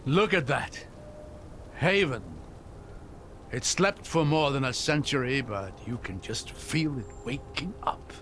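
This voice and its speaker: A man's voice: medium pitch, expressive, slow, fairly bright tone, a little hiss or hum. An actor playing a middle-aged, curious and articulate scholar father in a video game, musing in a learned way. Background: game soundtrack music.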